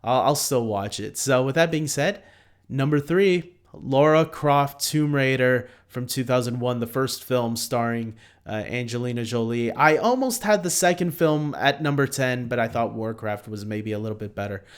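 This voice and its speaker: A man from Canada chats casually through a movie ranking on his own channel. His voice is smooth and clear at a medium pitch, and he fills his talk with "uh"s, restarts and quick laughs. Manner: chatty, lots of fillers and restarts, easy laughs. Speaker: movie review vlogger.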